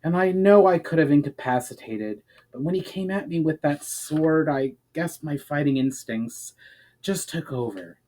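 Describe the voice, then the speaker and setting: A man's voice: on the high side, unhurried, dark tone, voice pushed up. A man voicing a frank, resolute noblewoman fighter in a fantasy story. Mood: defensive.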